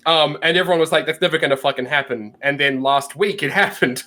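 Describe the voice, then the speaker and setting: A man's voice: fairly high pitch, fast, pushed and bright. Man just over a sinus infection, hesitant. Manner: hesitant, many ums and restarts, swears casually. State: recovering from sinus infection after losing voice. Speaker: podcast co-host. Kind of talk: casual chat. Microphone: video call.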